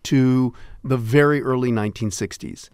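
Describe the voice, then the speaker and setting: A man's voice: mid-range voice, normal speed, a little mellow, clean tone, no echo, studio quality. An enthusiastic man in his sixties chatting and explaining as an interview guest, acting out little quotes as he goes. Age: 60s. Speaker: radio show host and guest. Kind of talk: animated conversational interview. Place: dry studio.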